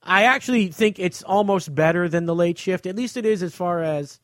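A man with a fairly high voice talks in a dry, close recording. He chats casually like a podcast co-host, giving blunt and emphatic opinions with plenty of swearing. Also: a little fast, a bit bright, no room sound. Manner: emphatic, frequently profane. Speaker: podcast co-host reviewing a book.

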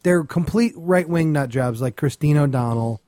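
A man's voice: fairly high pitch, moderate pace, warm tone, dry recording. A man co-hosting a podcast in casual comedy chat, ranting with crude, sarcastic scorn. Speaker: podcast co-host.

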